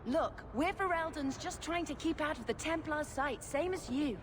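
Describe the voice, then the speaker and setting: Woman playing an earnest, higher-voiced young adventurer. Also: moderate pace, natural voice. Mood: pleading. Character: earnest, compassionate female adventurer, pleading for mages. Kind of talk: video game voice acting. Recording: clear background noise.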